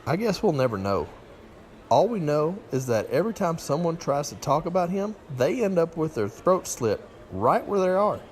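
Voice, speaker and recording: A man's voice: a little high, big pitch swings, normal speed, a little hiss or hum. A man playing a guarded small-town diner local in a conversational radio play. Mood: guarded, ominous.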